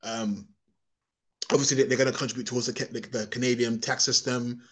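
Fast-talking rambling man. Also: mid-range voice, level tone. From England. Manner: rambling, restarts often, repeats words. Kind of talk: opinionated podcast monologue.

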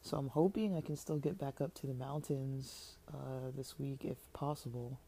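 A woman's voice: a bass voice, fairly even intonation, normal speed, creaky, warm tone, slightly rough, low-quality recording. A woman vlogger talking in a casual, hesitant, rambling way, full of fillers and restarts, and sounding slightly stuffy and tired. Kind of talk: casual vlog monologue.

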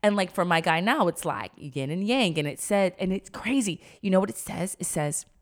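Female podcast co-host, slightly low voice, emphatic slangy storyteller who slips into acted-out quotes. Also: animated, moderate pace. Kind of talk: casual conversational podcast chat.

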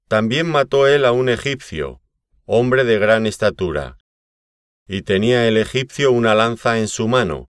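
A man reading scripture aloud as scripted narration, in a solemn, measured way with deliberate phrasing. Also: on the low side, clean tone, no room sound, studio quality. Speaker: Bible reader.

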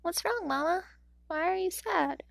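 A woman voicing a gentle, determined young boy who speaks softly and earnestly. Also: very high-pitched, measured pace, gravelly, no room sound, studio quality, pitched above the performer's own voice, full character voice.

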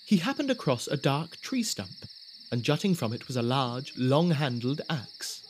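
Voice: mid-range voice, animated, a little slow, slight background noise. A narrator telling a spooky audio drama story in scripted storytelling style. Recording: music behind the voice.

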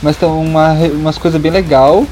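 A man's voice: fairly high pitch, steady tone, brisk, a little mellow, soft background music. A young man with a Brazilian accent chatting casually and slangily as a podcast panelist. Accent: Brazilian Portuguese. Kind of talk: casual chat.

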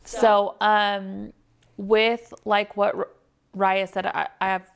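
Woman interviewer, gravelly and even-toned, halting questions. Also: medium pitch.

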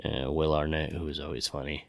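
A man's voice: very deep voice, level tone, brisk, gravelly, no room sound. A man co-hosting a casual chat about films, talking informally and swearing freely. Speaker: podcast co-host.

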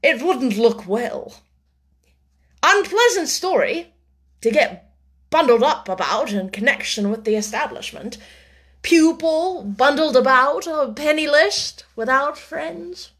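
Storybook role: sly, calculating businessman played by a female reader, unperturbed, dry, with animated intonation. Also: high-pitched, takes it slowly, pitched above the performer's own voice, full character voice. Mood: cool, commenting. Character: shrewd, unruffled, sinister businessman, a calculating man. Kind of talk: dramatised storybook reading.

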